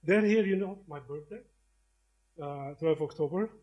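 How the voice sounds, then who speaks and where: A man's voice: a little high, unhurried, muffled recording. Middle-aged Algerian-born man lecturing. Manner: warm, conversational, with frequent hesitations and restarts. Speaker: professor giving a lecture. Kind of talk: inaugural academic lecture. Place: lecture hall.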